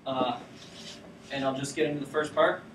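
A man's voice: medium pitch, fairly even intonation, normal speed, a bit bright. A young man giving a casual talk on sailing rules to his college team, explaining things loosely with fillers. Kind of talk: informal lecture to a student sailing team. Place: lecture classroom with a projector screen. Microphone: far camera at the back of the room. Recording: roomy classroom from far off, with background noise.

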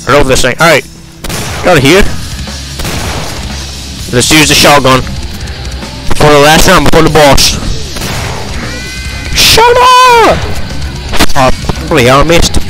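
Man, gamer commentary, fake British accent, swearing, rambling, fairly high voice, loud music behind. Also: sing-song, a little slow, pauses often, rough recording. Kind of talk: gameplay commentary. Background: game music and gunfire.

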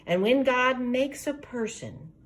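An older woman voicing a wise, kindly grandmother in a rhyming storybook, explaining gently and patiently. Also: high-pitched, lively intonation, takes it slowly.

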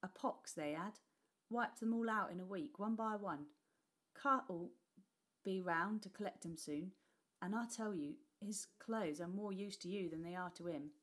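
A woman reading a storybook aloud, putting on a rough, mocking old widow of the London poor who talks coarse and brash. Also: medium pitch, measured pace, lightly acted. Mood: scornful. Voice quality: coarse, scoffing delivery with dropped sounds.

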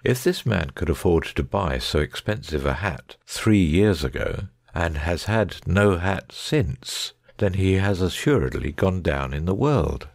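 Gentleman detective for an audiobook , acted by a man: very low, animated, assured and precise, lecturing his friend. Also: measured pace, gravelly, few pauses, no room sound, clean studio sound, full character voice. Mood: explanatory. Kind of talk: dramatic audiobook reading.

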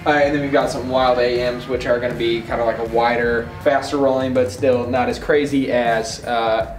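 A man's voice: medium pitch, little pitch movement, moderate pace. A man in his twenties giving a casual, slangy, enthusiastic product tour to camera inside a shop. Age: 20s. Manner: laid-back, slangy, enthusiastic. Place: indoors in a bike shop. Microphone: camera a few feet away. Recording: roomy echo, distant mic, music behind.

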